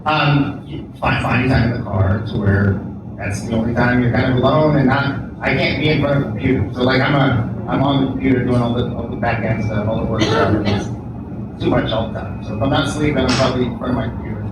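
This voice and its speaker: A man, a studio co-owner, answering interview questions in a relaxed, halting way. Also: medium pitch. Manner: relaxed, halting, drawn-out pauses. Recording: echoey room from far off, loud noise.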